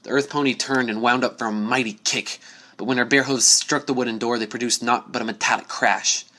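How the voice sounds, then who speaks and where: A man's voice: mid-range voice, steady tone, brisk, bright, forceful tone. A man giving a dramatic scripted narration of a spooky story. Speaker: story reader.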